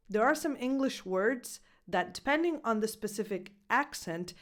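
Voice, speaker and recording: A young woman with an Italian accent, giving a scripted English pronunciation lesson as a coach, speaking clearly and slowing down to model words. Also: mid-range voice. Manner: clear, deliberate, slows down to spell out words.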